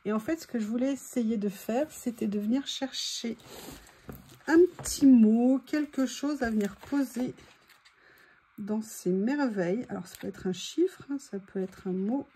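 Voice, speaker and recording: High-voiced woman thinking aloud, mellow tone. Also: a little slow, husky. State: voice giving out, throat clearing. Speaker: craft video host. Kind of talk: casual chat while crafting. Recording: faint background noise.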